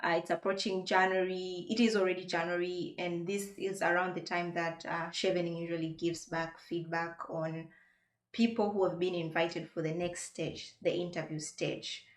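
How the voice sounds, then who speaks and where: A woman's voice: fairly low pitch, little pitch movement, normal speed. Upbeat woman host in an echoey room. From Tanzania. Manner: upbeat, laughs often. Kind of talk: casual interview chat.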